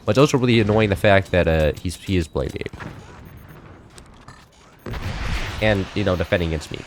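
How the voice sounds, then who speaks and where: A man's voice: fairly quick, noisy background. Rambling teen guy chatting while gaming. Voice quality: slightly high-pitched for a man. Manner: rambling, many fillers and restarts. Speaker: gameplay streamer. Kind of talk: casual chat while playing.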